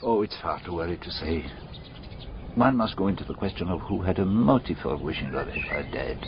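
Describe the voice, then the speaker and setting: An actor playing a shrewd, methodical foreign detective in a radio drama, deliberate and courteous, musing aloud in rhetorical questions. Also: male voice, low voice, animated. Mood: pondering, explaining. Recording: dull radio recording, clearly noisy background.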